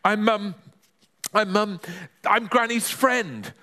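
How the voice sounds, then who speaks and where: A man voicing a panicky young grandson in a storybook, squeaky and stammering. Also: very animated, unhurried, sharp tone, heavily acted. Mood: panicked. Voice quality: squeaky high. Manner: stammering, flustered.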